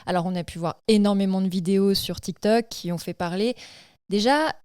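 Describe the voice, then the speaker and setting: A woman hosting a casual chat, calmly laying out topics and quizzing her co-hosts. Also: mid-range voice, brisk, clear, resonant tone, no echo, studio quality. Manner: steady, lays out topics and quizzes the others. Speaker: host.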